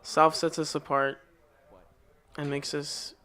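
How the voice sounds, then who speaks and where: Man, fairly high voice, flat intonation, concise, reflective. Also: slow.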